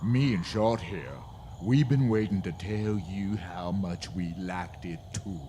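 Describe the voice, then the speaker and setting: An actor playing a menacing, mocking bully with folksy speech in a Western radio drama. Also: male voice, low-pitched, slow pace, warm tone, slight background noise. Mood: mocking menace. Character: menacing male Western bully, sneering and drawling.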